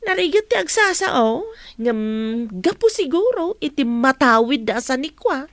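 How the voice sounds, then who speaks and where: High, animated gossipy housemaid. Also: female voice, unhurried, no echo, full character voice. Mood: confiding. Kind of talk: Ilocano radio comedy drama acting.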